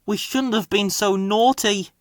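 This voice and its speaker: A man's voice: high-pitched, unhurried, dry recording, studio quality, pitched above the performer's own voice. A man voicing cheeky, mischievous young twin engines in a children's storybook, with a rude, whining manner. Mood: sorry.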